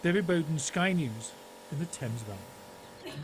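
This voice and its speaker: British male TV reporter, high-pitched voice, scripted narration over faint location noise. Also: animated, measured pace. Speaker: television news reporter.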